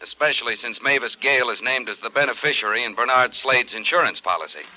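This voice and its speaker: An actor playing a brisk male insurance company man, businesslike and matter-of-fact, in 1950s radio drama style. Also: mid-range voice, animated, over loud music, lightly acted, low-quality recording.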